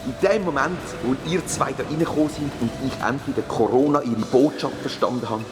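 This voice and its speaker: A man's voice: medium pitch, brisk, noisy background, close to an everyday voice. A man playing a fantasy-world ruler in a Swiss German children's audio drama, telling the tale in an emphatic, theatrical way. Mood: dramatic recounting. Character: grateful fantasy-world ruler freed from a spell, recounting dramatically. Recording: long reverb, music behind.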